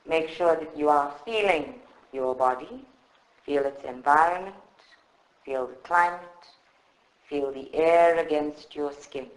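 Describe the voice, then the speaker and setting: A man leading a guided meditation in a calm, soothing, measured way with long pauses. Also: on the high side. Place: indoor room at a group meditation session. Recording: roomy, echoing live recording.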